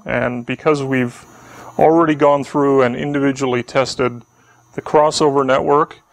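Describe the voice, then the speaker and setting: A man in his thirties explains factory test procedures in a steady, technical way, often repeating a word as he restarts a phrase. His voice sits at an ordinary pitch and comes across dry, with little room sound around it. He is picked up by a camera mic at a medium distance indoors. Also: gravelly, no room sound. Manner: measured, technical, repeats words when restarting. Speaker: company spokesman giving a factory tour. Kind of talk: explanatory walkthrough. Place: indoor factory test area and equipment lab.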